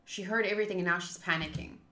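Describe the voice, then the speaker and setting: Young woman, bright voice, roomy sound, game music behind, playful streamer reading dialogue aloud. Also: on the low side, racing. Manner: playful, self-mocking. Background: faint game music.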